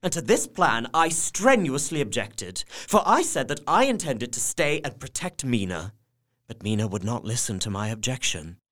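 A man's voice: mid-range voice, big pitch swings, moderate pace, fairly bright tone. A man narrating a gothic drama in the first person, earnest and heartfelt. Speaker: narrator and young husband character.

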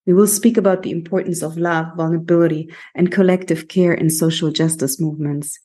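A woman's voice: on the low side, fairly even intonation, fairly quick, mellow. A woman hosting a podcast, presenting and putting questions to a guest. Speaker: podcast host. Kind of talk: scripted introduction and interview questions.

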